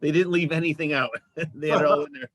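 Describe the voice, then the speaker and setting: A man's voice: a little high, steady tone, fast talker, fairly bright tone, dry recording. A man hosting a local music talk show, chatting enthusiastically with his guest. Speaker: show host. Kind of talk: casual interview chat.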